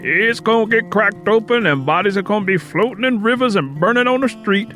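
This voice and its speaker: Man voicing a sharp, high-pitched, stubborn Southern elder. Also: animated, a little fast. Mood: bitter, warning. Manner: emphatic folksy rural Southern dialect, lecturing. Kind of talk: acted audiobook character dialogue. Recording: music playing under the voice.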